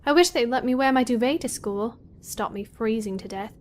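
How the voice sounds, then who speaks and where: A woman's voice: fairly high pitch, normal speed, natural voice. A woman playing a sardonic, self-critical seventeen-year-old college girl in an audio drama, with a wry, grumbling inner monologue. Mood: groggy, wry.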